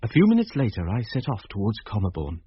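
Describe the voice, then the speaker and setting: An actor playing a reflective first-person narrator, delivering literary scripted narration for a radio drama. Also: male voice, lowish voice, huge pitch swings, fast, slightly rough, no echo, full character voice. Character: reflective male narrator recalling events. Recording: muffled radio drama with vehicle noise.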